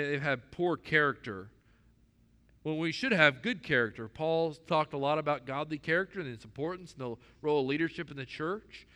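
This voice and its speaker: Bright-voiced male preacher in an echoing hall. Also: sits high, clear, resonant tone. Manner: earnest preaching, acts out quoted voices. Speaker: pastor. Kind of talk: sermon. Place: church hall. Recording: long echo of a large hall.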